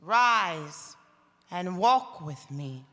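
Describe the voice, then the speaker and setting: A woman playing a calm, stern, ageless spirit in live radio theater, speaking in a measured, solemn, commanding way. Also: lowish voice, heavily acted. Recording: reverberant live theater hall.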